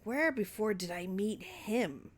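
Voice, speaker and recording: A woman's voice: mid-range voice, lively intonation, slow. Woman as a drawling, unperturbed, musing man. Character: unperturbed, watchful male film man, musing over a memory.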